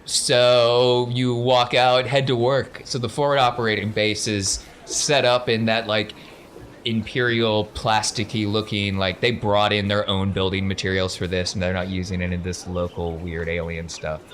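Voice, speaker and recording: Male game master, lowish voice, conversational and wry, improvised scene-setting, slight background noise. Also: level tone, normal speed, a bit bright. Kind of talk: casual improvised tabletop game narration.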